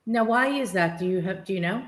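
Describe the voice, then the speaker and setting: A woman's voice: mid-range voice, a little fast. A woman hosting a live interview, chatty and curious, asking questions over a video call. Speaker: host.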